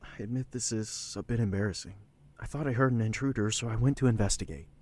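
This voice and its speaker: A male actor voices an evasive mercenary in an audio drama. He has a fairly low voice and sounds flustered and sheepish. His delivery is halting and deferential. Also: darkish tone, natural voice. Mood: sheepish, apologetic.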